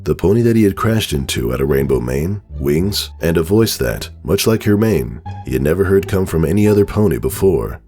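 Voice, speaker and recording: Male narrator, very deep pitch, dramatic storytelling, scripted, background music. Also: animated, brisk, hardly any pauses, warm tone.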